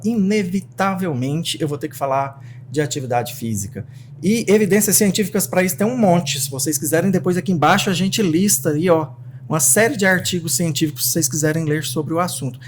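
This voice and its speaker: A man with a Brazilian Portuguese accent hosting a casual podcast as a psychiatrist, animated and talking straight to his listeners. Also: on the high side, a little hiss or hum. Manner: animated, talks straight to the audience.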